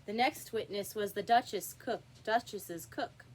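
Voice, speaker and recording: A woman's voice: medium pitch, unhurried, a little hiss or hum. A woman reading a classic story aloud as scripted audiobook narration. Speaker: audiobook reader.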